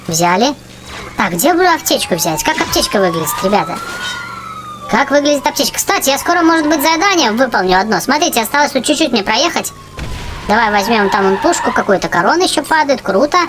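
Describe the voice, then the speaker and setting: A youthful-sounding gaming host giving excited, chatty gameplay commentary, often exclaiming and repeating phrases. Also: sits high, noisy background. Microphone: voice-over on game footage.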